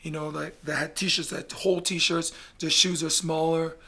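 A middle-aged man from Canada telling his story in a casual interview, blunt and animated, swearing freely. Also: fairly high pitch, normal speed, gravelly, slightly rough. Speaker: guest, basketball player.

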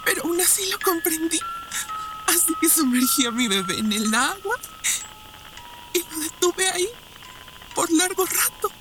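An actress playing a haunted war survivor and grieving mother, speaking in a halting, emotional way in a Spanish-language radio drama. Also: very high-pitched, very animated, over music, heavily acted, poor audio. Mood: grieving, numb.

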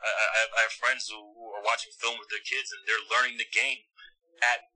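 A middle-aged man from Montreal speaks as an interview guest, his voice husky and forceful with a rather low pitch. He talks at length and with emphasis, often restarting words as he works through his points. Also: level tone, normal speed, low-quality recording. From Montreal, Canada. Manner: emphatic, talkative, repeats words as he builds thoughts. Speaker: interview guest, former basketball player. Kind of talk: casual conversational interview.

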